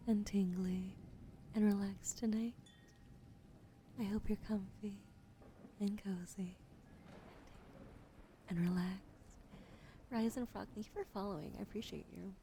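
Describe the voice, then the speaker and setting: Soft whispering young woman, gravelly edge. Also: medium pitch, fairly even intonation, a little hiss or hum. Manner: soft unvoiced whisper, slow and soothing. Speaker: ASMR streamer. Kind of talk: whispered ASMR chat with viewers.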